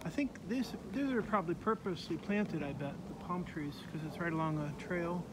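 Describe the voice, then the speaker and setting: A man's voice: fairly high pitch, lively intonation, fairly quick. A man casually narrating a walk through a sunny park, pointing things out with lots of fillers, filmed on a handheld phone outdoors. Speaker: vlogger filming a park walk. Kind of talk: casual walking tour narration. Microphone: handheld phone camera. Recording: outdoor phone audio with loud background noise.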